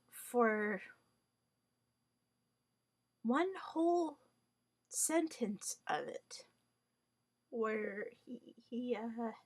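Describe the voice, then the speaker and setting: A woman whose first language is Hungarian, giving a wry, rambling monologue full of fillers, restarts and mock-formal wording. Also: fairly high pitch. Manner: hesitant, many fillers and restarts.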